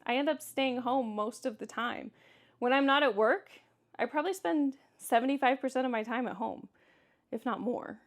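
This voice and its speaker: A woman's voice: sits high, moderate pace. A woman with a Kansas accent chatting casually in a solo vlog, candid and emphatic as she responds to comments. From Kansas, United States. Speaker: vlogger.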